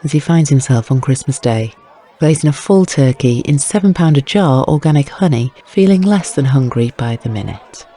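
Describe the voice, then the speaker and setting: A man's voice: mid-range voice, lively intonation, moderate pace, dark tone, a faint crowd. A man narrating scripted crime fiction as an audiobook, with wry, literary storytelling.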